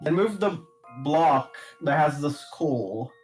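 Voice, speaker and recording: A man's voice: a little high, animated, slow pace, soft background music, low-quality recording. A man giving casual step-by-step game directions to a friend, hesitant and thinking aloud. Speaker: co-player coaching puzzle moves.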